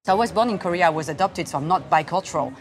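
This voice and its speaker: A woman, an investor speaking in an onstage interview, measured and halting with many fillers. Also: fairly low pitch, expressive. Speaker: investor, fund founder. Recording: crowd murmur in the background.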